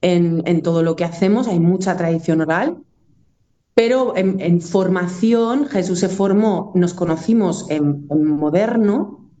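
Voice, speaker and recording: A woman's voice: on the low side, unhurried, warm tone, muffled recording. A woman from Aragón with a northern Spanish accent, talking in a casual, reflective and discursive way as a musician being interviewed. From Aragón, Spain.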